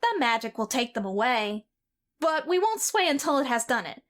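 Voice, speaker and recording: A woman's voice: high-pitched, sing-song, normal speed. A woman voicing a formerly sickly boy, proud, commanding and earnest. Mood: chanting. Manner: imperious, earnest chanting. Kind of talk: amateur audio drama.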